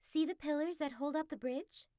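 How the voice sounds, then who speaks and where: A woman voicing a cunning, scheming female fox who talks in a brisk, persuasive, bossy way. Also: high-pitched, dark tone, no echo, clean studio sound, pitched above the performer's own voice, close to an everyday voice.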